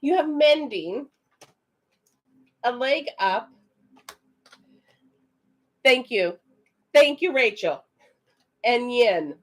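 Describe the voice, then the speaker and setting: This is a woman giving a live psychic card reading on a stream. Her voice is raspy, and she speaks in a loose, chatty way, often stopping to answer viewers in the chat. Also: fairly high pitch, expressive, drawn-out words, pauses often. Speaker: psychic reader.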